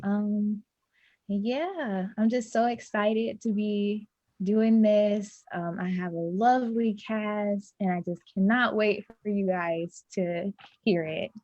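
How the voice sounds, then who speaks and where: A woman's voice: medium pitch, steady tone, slow, darkish tone, no echo, muffled recording. A woman, casually introducing herself as a stage actor. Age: 20s.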